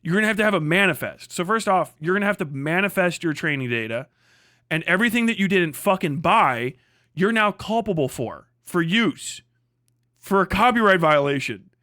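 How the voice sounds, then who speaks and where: A man's voice: high voice, expressive, normal speed, sharp tone, no room sound, studio quality. A man from the United States giving off-the-cuff livestream commentary in a blunt, emphatic, swear-heavy way, repeating phrases to drive points home. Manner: blunt, heavily profane, emphatic.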